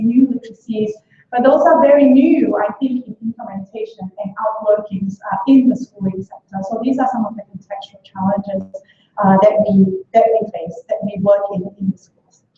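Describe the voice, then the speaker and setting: A woman's voice: fairly high pitch, dark tone. A woman from Singapore, giving a conference talk as an education researcher, hesitant with many fillers and restarts. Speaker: education researcher presenting at a workshop. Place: lecture room. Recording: echoey hall from far off, dull sound.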